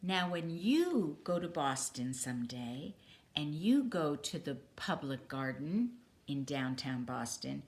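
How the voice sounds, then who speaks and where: Slow, lower-voiced woman reading a storybook. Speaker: storybook reader. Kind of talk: children's picture book read-aloud.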